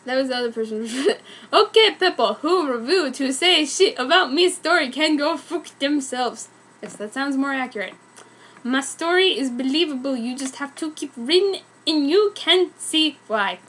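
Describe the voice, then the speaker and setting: A woman's voice: sits high, expressive, normal speed, fairly bright tone. A woman doing a dramatic reading of amateur fan fiction as narrator, theatrical and easily amused. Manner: theatrical, often breaking into giggles.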